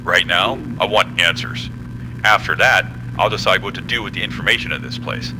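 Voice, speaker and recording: A man's voice: low-pitched, normal speed, noisy background, close to an everyday voice. A man playing a blunt, commanding, hard-nosed military general in an audio drama. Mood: angry, stern.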